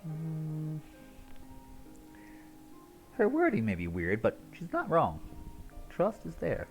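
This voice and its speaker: Animated man livestreaming a game. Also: on the high side, slow pace. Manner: playful, chatty, reacting aloud. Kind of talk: casual live commentary on a game. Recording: game music faintly behind the voice.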